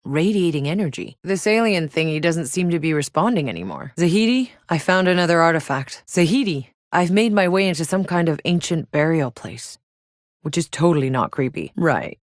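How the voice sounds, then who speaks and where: A woman's voice: low-pitched, animated, moderate pace, no echo, studio quality. An actress playing a tough, sardonic woman mercenary in a video game, speaking in a wry, blunt, colloquial way. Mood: wry, reporting. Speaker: video game protagonist voice actor. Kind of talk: scripted game dialogue.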